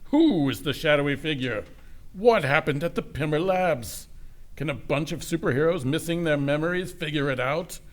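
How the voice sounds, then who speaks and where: A man's voice: on the high side, animated, normal speed, dry recording. A man narrating and announcing a scripted radio serial.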